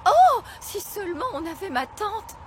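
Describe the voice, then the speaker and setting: A woman's voice: very high-pitched, very animated, unhurried, noisy background, heavily acted. A woman playing a tart, bossy fairy nanny in a cartoon, delivering her lines with pointed sarcasm. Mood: sarcastic.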